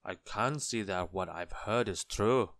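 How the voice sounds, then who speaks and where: A man voicing a stern goblin king in a children's storybook, measured, regal and admonishing. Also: on the low side, a bit bright, dry recording. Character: stern, unhurried goblin king on his throne.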